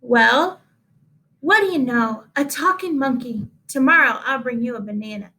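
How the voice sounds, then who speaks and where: A woman's voice: high-pitched, normal speed, bright, forceful tone, rough recording. A woman voicing a nice but flustered schoolteacher in a storybook, exclaiming emphatically. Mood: brisk, bright.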